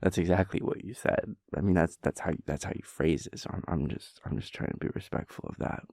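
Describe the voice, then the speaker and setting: A man's voice: sits low, fairly quick, vocal fry, a little mellow, dry recording, studio quality. A man playing a playful, sleepy, chaotic boyfriend in an intimate roleplay audio, rambling and stammering as he teases and coaxes his lover. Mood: mock-formal teasing. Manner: rambling, stammering, teasing, breaking into whispers and giggles.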